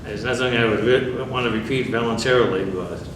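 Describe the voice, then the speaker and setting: An older man from New York State, a veteran answering questions in an oral history interview in a plain, measured way with dry humor. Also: mid-range voice. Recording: echoey room, far mic, background noise.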